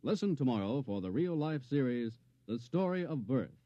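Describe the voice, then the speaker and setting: A man's voice: mid-range voice, sing-song, moderate pace, dry recording. An American man reading a scripted promo as a 1950s radio announcer. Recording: dull vintage radio broadcast recording.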